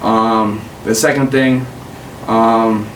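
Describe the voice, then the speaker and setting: A man's voice: lowish voice, steady tone, extremely slow, fairly bright tone. A young man hosting a podcast, giving casual, rambling advice with lots of fillers. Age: young adult. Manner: laid-back, rambling advice with many fillers. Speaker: podcast host. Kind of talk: casual chat. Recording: roomy sound from a distant mic with faint hiss.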